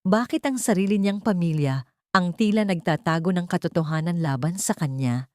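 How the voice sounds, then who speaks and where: A woman's voice: fairly low pitch, moderate pace, no echo, clean studio sound. A woman narrating a scripted audio story in a dramatic style.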